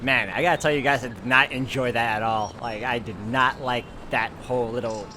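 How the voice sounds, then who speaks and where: A man hosting a game playthrough, reading visual novel narration aloud in an informal, expressive way with casual asides and the odd stumble. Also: medium pitch, fairly quick, background noise. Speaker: gaming video host.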